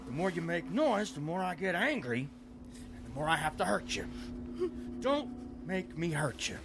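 An actor playing a menacing male kidnapper with a slow, soothing yet threatening drawl. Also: a little high, very animated, creaky, background noise.

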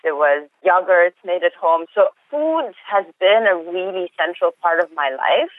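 A woman's voice: fairly low pitch, expressive, a little slow, dry recording. Woman on a thin phone-like line. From Egypt. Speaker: interview guest, food systems researcher. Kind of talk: conversational personal storytelling.

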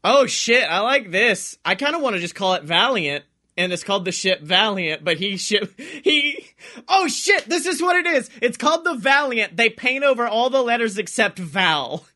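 Man, high-pitched, bright forceful tone, excitable, rambling, profane, casual comedy podcast chat. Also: moderate pace. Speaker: podcast co-host.

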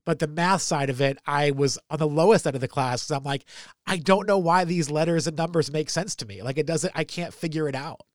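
A casual, friendly man hosts a podcast chat. His voice is a bit higher than most men's and rambles a little, and he sits close to the mic in a dry, dead room. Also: a little fast, dry recording. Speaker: podcast host. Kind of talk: podcast interview conversation.

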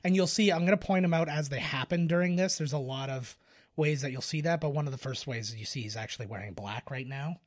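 A man's voice: on the high side, fast talker. A man co-hosting a podcast, explaining film trivia in a casual, informative way. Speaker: podcast co-host.

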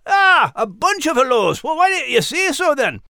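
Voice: high voice, big pitch swings, moderate pace, pushed and bright, no echo, studio quality, exaggerated voice. An actor voicing a jolly, blunt animal character with a Scottish accent and a folksy, teasing manner in playful podcast banter. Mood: puzzled, blunt.

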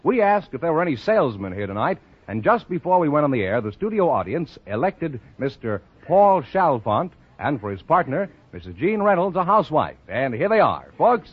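A man's voice: on the high side, very animated, normal speed, few pauses, slightly rough, no echo. An American man working as the announcer on a 1940s radio quiz show. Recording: muffled old radio recording, faint music.

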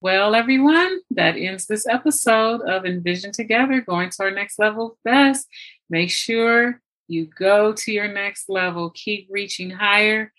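A woman's voice: medium pitch, measured pace, fairly bright tone, low-quality recording. A woman hosting an interview podcast in a conversational, effusive and appreciative way. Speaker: podcast host.

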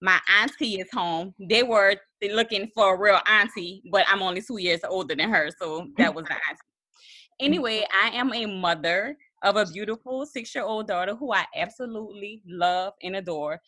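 Bright, dry-sounding upbeat woman in her thirties. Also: medium pitch, normal speed, no room sound. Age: 30s. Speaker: podcast co-host. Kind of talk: casual self-introduction.

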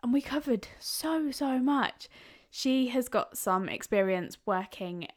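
A woman hosts a podcast in a chatty, casual way. She is bubbly and lets a swear word slip now and then. Her voice sits somewhat high. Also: lively intonation, slow. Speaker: podcast host.

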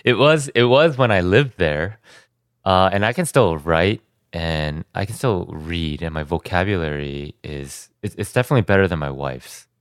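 Very low-voiced man chatting casually. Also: normal speed, clear, resonant tone, no room sound, studio quality. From United States. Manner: relaxed, rambling, frequent restarts and fillers. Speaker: podcast guest. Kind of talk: casual conversation.

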